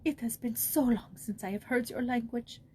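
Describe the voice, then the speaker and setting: A woman's voice: on the high side, normal speed, a little mellow, slight background noise, pitched above the performer's own voice, natural voice. A woman voicing a sorrowful old pauper woman in her seventies for a storybook, trembling and plaintive. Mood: wistful. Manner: plaintive, lamenting. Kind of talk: storybook character voice.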